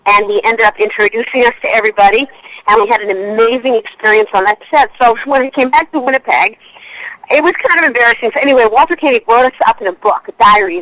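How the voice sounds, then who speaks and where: A Canadian woman in her fifties tells stories in a chatty, self-mocking way. Her voice is bright and a little sharp, and it is recorded close and dry with no room sound. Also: medium pitch, dry recording, rough recording. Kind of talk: casual radio interview storytelling.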